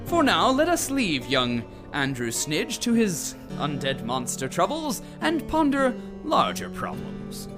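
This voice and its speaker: An actor playing an arch, grandiloquent narrator of a radio drama serial. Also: male voice, high voice, wildly swooping pitch, takes it slowly, few pauses, exaggerated voice. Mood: arch, suspenseful. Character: theatrical male serial narrator. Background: plucked guitar music.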